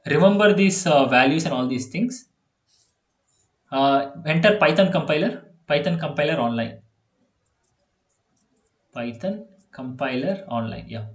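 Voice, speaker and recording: A man's voice: a little high, animated, a little slow, long pauses. Hesitant Indian-accented young man, echoey. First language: Telugu. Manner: hesitant, many fillers and restarts. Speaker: online coding tutor. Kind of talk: casual online tutoring session. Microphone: video call. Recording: echoey video call audio.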